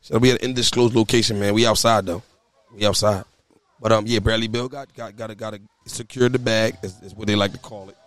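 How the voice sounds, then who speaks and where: A hoarse man hosting a casual talk show, often stammering and repeating his words as he speaks outdoors. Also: fairly low pitch, steady tone, fairly quick, no room sound. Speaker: podcast host and youth basketball coach. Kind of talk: casual chat. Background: faint background music.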